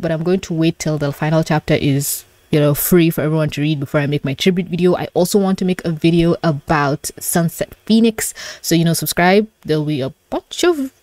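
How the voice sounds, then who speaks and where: A young adult woman giving a casual live reaction, gushing and exclaiming with excitement. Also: on the low side, no echo. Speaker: video creator reacting to a webcomic. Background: pop song playing.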